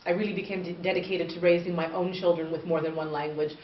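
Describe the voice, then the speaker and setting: Low-voiced woman from far off. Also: fairly even intonation. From northern Canada. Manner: earnest, measured, explanatory. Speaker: guest, bilingual education expert. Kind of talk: interview answers. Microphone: far from the mic. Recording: distant, echoey, muffled with faint noise.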